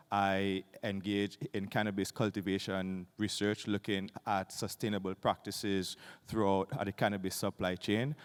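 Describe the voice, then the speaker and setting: A man's voice: lowish voice, smooth. A man who lectures at a university, from the Caribbean, introducing himself formally on a panel with frequent fillers. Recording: conference hall reverb.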